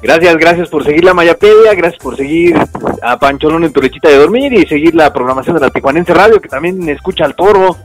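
Slangy Mexican radio co-host, high voice. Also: male voice, animated, no echo, faint music behind, poor audio. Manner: quick, slangy, joking. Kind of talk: casual joking chat.

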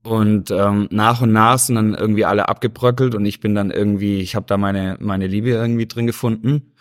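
A man from Stuttgart with a Swabian accent, chatting easily as an interview guest and often pausing with hesitations. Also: lowish voice, steady tone. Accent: Swabian German. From Stuttgart, Germany. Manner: easygoing, rambling, many hesitations. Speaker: interview guest, dancer and choreographer. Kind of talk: casual interview chat.

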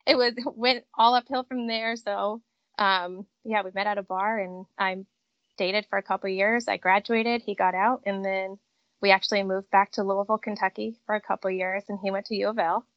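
Casting: mid-pitched woman in her thirties from Connecticut, talkative interview guest, dry slightly dull recording. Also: steady tone, fairly quick, no room sound. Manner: upbeat and talkative, lots of um and like. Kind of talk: casual interview chat. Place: outdoors on a front porch.

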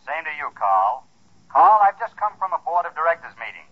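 A hearty senior executive in a 1940s radio comedy. He sounds patronizing and lively, his voice swinging in pitch through a thin telephone line. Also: fairly quick, husky. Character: hearty, patronizing company director. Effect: telephone filter. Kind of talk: 1940s radio sitcom acting. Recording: tinny phone line on old radio.

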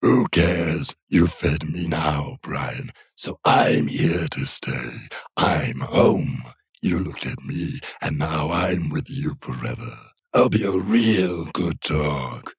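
Husky demonic dog corpse. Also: male voice, lively intonation, no echo, clean studio sound, heavily acted. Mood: taunting. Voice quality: low, husky, slow and drawn out. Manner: taunting, sly. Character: demonic creature in a dead dog's body, gleeful predator. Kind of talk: audiobook horror narration.

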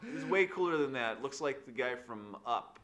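Man, podcast co-host, chatty, playful, long explanations, mid pitch, very lively intonation. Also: normal speed, a crowd in the background. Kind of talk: casual chat.

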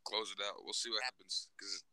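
A man's voice: fairly low pitch, sharp tone, no echo, rough recording. A young man chatting casually about basketball, mostly giving short replies but firmly arguing his own picks. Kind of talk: casual sports chat.

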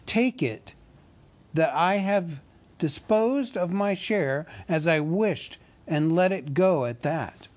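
A man's voice: a little high, animated, slow pace, darkish tone, voice pushed up. A man voicing a small, fussy, polite male hobbit who answers with prim, wounded dignity. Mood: prim reproach.

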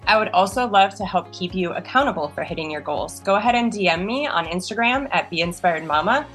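A woman in her thirties who hosts a podcast, talking in an earnest, upbeat motivational monologue while hoarse and raspy from being sick. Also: lowish voice, lively intonation, a little fast, a bit bright, soft background music. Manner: earnest, upbeat, lots of fillers. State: hoarse from illness. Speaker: podcast host.

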